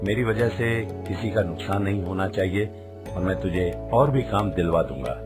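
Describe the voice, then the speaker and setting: A man's voice: sits low, animated, normal speed, music in the background. A man playing a generous, wealthy village merchant in a cartoon story. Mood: kindly, firm. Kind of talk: Hindi cartoon story voice acting.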